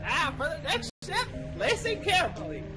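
Man voicing an old male guide, hammy, lecturing, lively pitch, game music behind. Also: normal speed, full character voice. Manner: theatrical, booming, hammy reading of game text. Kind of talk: voicing game dialogue over a playthrough.

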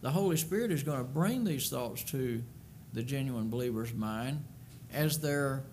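Earnest older preacher at a pulpit. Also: male voice, mid-range voice, animated, unhurried, a little mellow, a little hiss or hum. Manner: earnest, emphatic, repeats words while building a point. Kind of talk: sermon. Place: church sanctuary at a wooden pulpit. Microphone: pulpit microphone.

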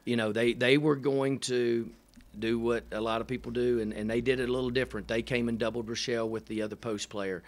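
Middle-aged male coach at a press table mic, low-pitched, halting and matter-of-fact with dry asides. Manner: halting, many fillers and restarts, dry matter-of-fact humor. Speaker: head coach. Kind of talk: post-game press conference answers. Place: press room in front of a sponsor backdrop. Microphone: table microphone.

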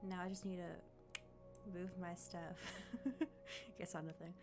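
Slightly raspy woman streaming casually. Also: fairly low pitch, fairly even intonation, slow, over music. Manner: chatty, thanks followers, thinks aloud with fillers. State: coming down with a cold. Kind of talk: casual live-stream chat.

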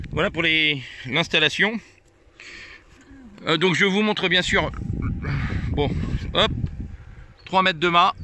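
A man's voice: a little high, sharp tone, slight background noise. A man chatting casually on amateur radio and showing his gear outdoors, explaining it hesitantly step by step. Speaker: amateur radio operator filming a video. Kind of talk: casual radio chat and hands-on demonstration. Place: outdoors on a hilltop field.

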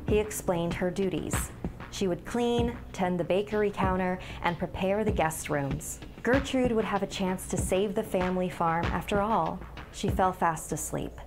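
A woman in her thirties narrates a dark tale in a fairly low voice. Her delivery is scripted and dramatic, with clear music playing behind her. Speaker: storyteller. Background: background music.

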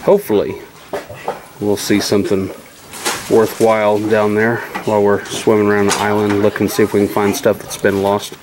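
A man's voice: fairly low pitch, monotone, normal speed. A man talking casually in short, plainspoken remarks, as a vlogger filming outdoors on a boat. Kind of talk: casual outdoor vlog narration. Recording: clear boat and outdoor lake noise.

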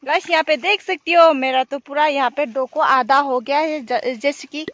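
A woman's voice: high voice, moderate pace, music in the background. A woman in her thirties chatting casually and informally to viewers, often repeating herself, recorded on a phone outdoors. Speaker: village life vlogger. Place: outdoors in open rice fields and village. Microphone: phone, at arm's length or set up nearby. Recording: outdoor phone audio with light noise.

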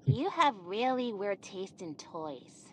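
A woman's voice: mid-range voice, big pitch swings, takes it slowly, slight background noise, poor audio. A woman playing a cheery, bossy young girl hosting a children's show in a game, with singsong delivery that can turn sarcastic. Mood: scornful, impatient. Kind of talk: scripted children's cartoon game acting. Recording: music behind the voice.

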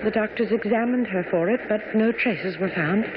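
A woman's voice: mid-range voice, normal speed, natural voice. An actress playing an anxious, well-bred young Victorian woman, speaking earnestly and formally in a radio drama. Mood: careful recounting. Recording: noisy, dull old radio broadcast.

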